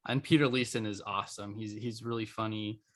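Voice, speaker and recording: A man's voice: fairly low pitch, fairly even intonation, a little fast. A man hosting a podcast, talking in a casual, loosely phrased conversational way. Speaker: podcast host.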